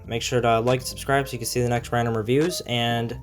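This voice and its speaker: A man's voice: medium pitch, little pitch movement, fast, soft background music. A man giving a casual, informal hands-on product review, explaining features as he goes. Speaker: gadget reviewer.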